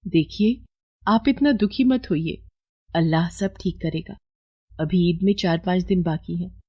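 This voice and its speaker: A woman voicing a gentle, patient wife who speaks soothingly and earnestly in a cartoon moral story. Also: lowish voice, a little slow, husky, dry recording, dull audio. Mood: reassuring.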